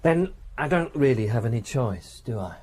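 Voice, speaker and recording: A man playing a coldly reasonable, patronising prison official in a radio drama, probing and advising with measured authority. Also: medium pitch, lively intonation, darkish tone, husky. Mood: exasperated.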